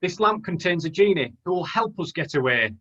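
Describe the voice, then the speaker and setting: Guy as earnest young panto hero, bit high pitched, reciting rhymes, muffled call audio. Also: lively intonation, brisk, pushed and bright, full character voice. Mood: determined. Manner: declaiming rhymed lines earnestly. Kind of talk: amateur pantomime on a video call. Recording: dull lo-fi video call audio.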